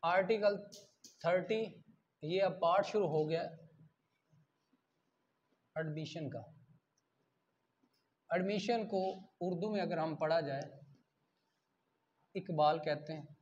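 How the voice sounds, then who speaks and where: A man's voice: a little high, slow pace, pauses often. A man with a Pakistani accent lecturing as a law teacher, explaining through examples, asking and answering his own questions and mixing in English terms. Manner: code-switches into English terms. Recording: dull lo-fi lecture audio.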